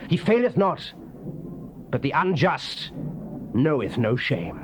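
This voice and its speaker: A man with a British accent gives a solemn, theatrical, declamatory dramatized reading of the King James Bible. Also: sits high. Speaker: dramatic scripture reader. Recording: noisy old cassette tape recording.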